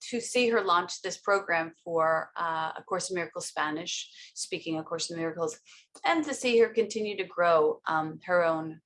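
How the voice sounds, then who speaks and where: A woman's voice: lowish voice, fairly bright tone. Gushing woman host with many ums. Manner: emotional, gushing, halting with many "um"s. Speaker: host introducing a guest.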